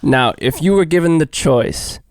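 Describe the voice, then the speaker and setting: A man's voice: fairly high pitch, expressive, moderate pace, darkish tone, no room sound, clean studio sound. A man hosting a comedy podcast, joking around in a laid-back, profane way. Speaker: podcast host. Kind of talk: casual comedy podcast chat.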